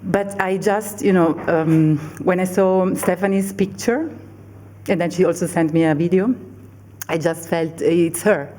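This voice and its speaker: Woman director, low mellow voice, hesitant panel answers with frequent fillers. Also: a little slow. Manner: hesitant, many fillers and restarts. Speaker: film director on a festival panel.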